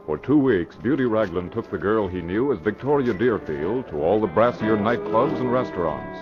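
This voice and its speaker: A man's voice: fairly low pitch, lively intonation, normal speed. A man narrating an old-time radio crime drama in scripted style, on a muffled recording with music behind.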